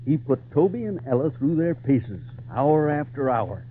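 A man's voice: on the high side, very animated, normal speed, a little hiss or hum. A male actor playing a storyteller who narrates an adventure tale in old radio drama style. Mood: narrating. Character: male storyteller recounting circus adventure to a boy. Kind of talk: dramatic narration, 1940s-50s radio acting. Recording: tinny old radio recording with music.

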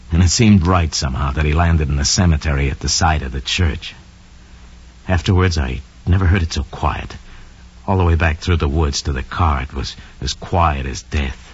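A very deep-voiced, smug killer. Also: male voice, husky, exaggerated voice. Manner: sly, cold, boastful, slangy. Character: smug scheming young male murderer confessing his crime. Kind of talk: 1940s radio drama monologue. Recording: dull lo-fi old radio recording.

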